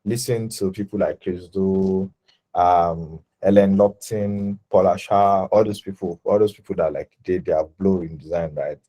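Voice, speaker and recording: A young Nigerian man in his twenties talking about his life and design work in a relaxed interview, full of fillers and self-corrections. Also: deep voice, normal speed. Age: 20s. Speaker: designer guest. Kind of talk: casual interview talk.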